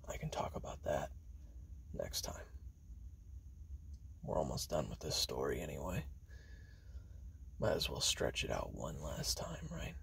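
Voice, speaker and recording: Man playing a gravelly, very deep whispering assassin. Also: heavily acted. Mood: weary, resigned. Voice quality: gravelly, breathy, half-whispered. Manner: slow, halting, hushed, with long pauses. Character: weary male assassin recounting his memoirs on tape, grim. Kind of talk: slow confessional audio roleplay monologue.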